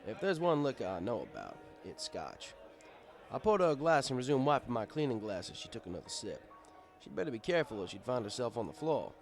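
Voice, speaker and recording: A man's voice: medium pitch, huge pitch swings, fairly quick, soft background music. A man reading a first-person story as scripted narration, in a wry, conversational manner. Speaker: story reader.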